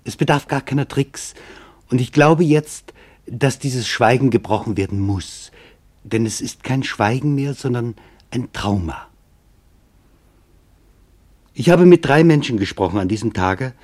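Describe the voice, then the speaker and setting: A man playing a German reporter, narrating a radio documentary drama in a measured, grave and deliberate way. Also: mid-range voice, animated, a little mellow. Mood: sober. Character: male German reporter recounting his search for witnesses, sober.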